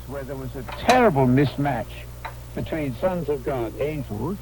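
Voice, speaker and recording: A man's voice: mid-range voice, animated, normal speed, noisy background. A man preaching a sermon, heard through a shortwave radio broadcast.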